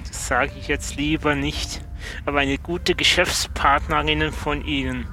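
A man's voice: on the high side, steady tone, unhurried, sharp tone, slight background noise. A man playing a big, wary adventurer in an improvised audio drama, blunt and laconic in his delivery. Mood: matter-of-fact. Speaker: listener guest player.

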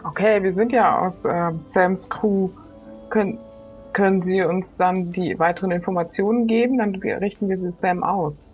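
A woman's voice: fairly low pitch, steady tone, moderate pace, lo-fi sound, natural voice. A woman playing a polite, inquisitive crew member with a hesitant manner in an improvised role-play comedy. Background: faint music.

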